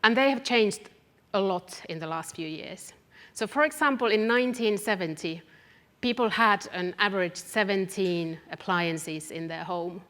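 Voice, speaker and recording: A woman's voice: mid-range voice, normal speed, a bit bright. Finnish-accented woman speaking in an echoey hall. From Finland. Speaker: academic researcher, public speaker. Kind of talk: public talk to a live audience. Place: event hall, live talk. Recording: echoey hall with long reverb.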